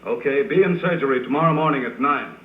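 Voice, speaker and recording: An American actor playing a brusque, commanding chief of detectives in a 1940s radio drama, giving clipped orders. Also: male voice, mid-range voice, fast talker, soft background music, natural voice. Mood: brusque, businesslike. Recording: echoey, muffled old radio, faint noise.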